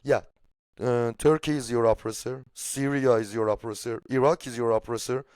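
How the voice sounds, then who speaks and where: A man's voice: medium pitch, steady tone, normal speed, darkish tone, no room sound. Iranian guy w accent, native persian speaker, blunt n emphatic political chat, sweary, dry sound. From Shiraz, Iran. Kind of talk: casual political discussion.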